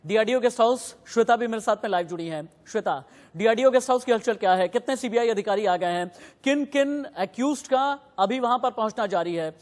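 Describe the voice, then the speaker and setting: A man's voice: high-pitched, a little fast. Guy anchoring live news, pushy and emphatic, firing questions, long echoey reverb on it. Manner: insistent, emphatic, repeating instructions.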